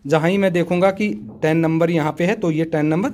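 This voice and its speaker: A man's voice: on the high side, fairly quick, darkish tone. A man giving a practical how-to explanation as a tutorial host, in an instructive, methodical way, addressing viewers as friends.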